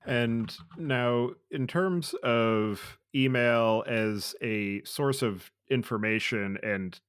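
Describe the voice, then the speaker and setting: A man's voice: lowish voice, a bit bright, smooth, no echo, studio quality. A man hosting a podcast interview, posing formally worded questions in long, articulate sentences.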